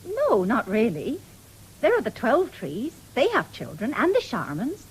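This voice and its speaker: A woman's voice: fairly high pitch, huge pitch swings, unhurried, low-quality recording. An actress with an English accent playing a firm but loving country mother in a radio drama. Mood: polite. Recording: faintly hissy 1970s radio recording.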